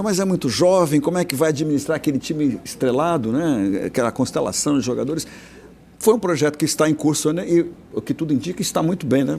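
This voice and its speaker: A man co-hosts a football panel, talking fast and in a chatty way. His voice is gravelly and dark, and it carries the frequent creak of someone speaking quickly and informally. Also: medium pitch, a little hiss or hum. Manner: fast and chatty, with many tag questions. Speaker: sports show co-host. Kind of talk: casual football panel talk.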